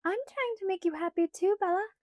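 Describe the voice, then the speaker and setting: Bubbly, wheedling young female vampire, read by a woman, high and bright, dry studio. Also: a little fast, no room sound. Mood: chirpy. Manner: wheedling, sing-song, eager. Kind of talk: audiobook character voice.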